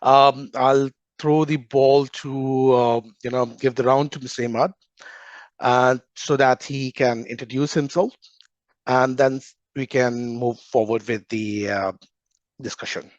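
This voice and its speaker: A man's voice: mid-range voice, steady tone, unhurried, dry recording. Male podcast host, hesitant, lots of fillers, dull lo-fi sound, dry. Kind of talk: interview hosting.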